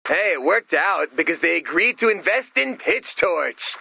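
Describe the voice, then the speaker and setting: A man voicing an excitable, goofy inventor in a video game, talking like an eager salesman. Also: high-pitched, measured pace, gravelly, breathy edge, no room sound, full character voice. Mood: sheepish. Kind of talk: video game character dialogue.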